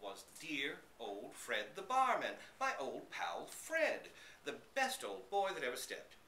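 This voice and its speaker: A man's voice: a little high, wildly swooping pitch, slow, creaky, sharp tone, far from the mic, voice pushed up, heavily acted. A man voicing an eager, naive, friendly dog who tells his own story with bubbling enthusiasm. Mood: delighted, excited. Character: excitable, naive, chummy young male dog telling his story. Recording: roomy live reading, slight background noise.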